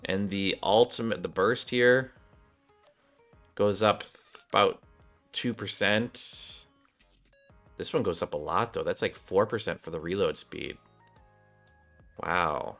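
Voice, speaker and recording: A man's voice: sits low, takes it slowly, long pauses, a bit bright, soft background music. A man doing casual game commentary, thinking aloud in a rambling way, with a slightly worn voice. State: voice slightly off, recovering. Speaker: gaming streamer, host.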